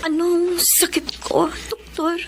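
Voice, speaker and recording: A woman's voice: extremely high pitch, level tone, slow pace, music in the background, heavily acted. A woman with a Filipino accent playing a long-suffering, plaintive yet defiant wife in a radio drama. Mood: worried. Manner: plaintive, emotional. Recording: faint background noise.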